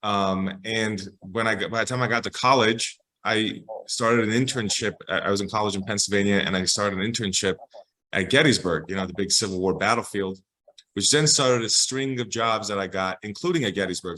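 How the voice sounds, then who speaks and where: A man's voice: on the low side, sharp tone, poor audio. An American man speaking as an interview guest, explaining things informally and conversationally, often checking in with the listener. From Los Angeles, United States. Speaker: public historian, interview guest.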